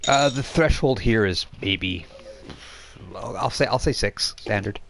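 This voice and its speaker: A man running a tabletop game as game master, narrating off the cuff and explaining in a halting, self-correcting way. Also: lowish voice, creaky, a little hiss or hum.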